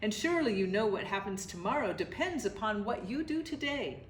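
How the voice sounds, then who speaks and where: A woman's voice: mid-range voice, expressive, a little fast, echoey room, slight background noise, natural voice, low-quality recording. A woman voicing a reproachful, concerned anteater parent in a storybook.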